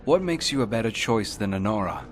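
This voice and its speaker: An actor playing a composed, loyal warrior hero in a video game, asking direct questions in a measured way. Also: male voice, fairly low pitch, sing-song, a little hiss or hum. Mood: composed, probing. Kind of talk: video game cutscene acting.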